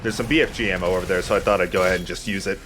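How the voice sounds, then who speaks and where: A man's voice: sits low, fairly even intonation, racing, fairly bright tone, slight background noise. A man giving casual commentary on a video game, with a dry, opinionated way of talking. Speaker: let's play host.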